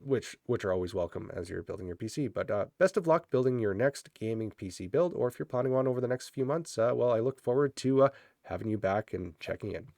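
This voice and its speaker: A man with a slight cold walks through the parts of a budget gaming PC in a relaxed, unhurried way. His voice is a little nasal, and he often stops on fillers and restarts his sentences. The sound is dry and close, with no room echo. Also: medium pitch, gravelly. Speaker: host of a PC build channel. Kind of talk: casual product rundown.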